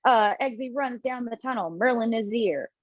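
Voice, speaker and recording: A woman reads stage directions aloud from home over a dull, low-fi mic. Her voice sits fairly high and sounds close and dry. She moves through the script briskly. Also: dry recording. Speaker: script reader. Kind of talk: table read of stage directions and a role. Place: home, video call.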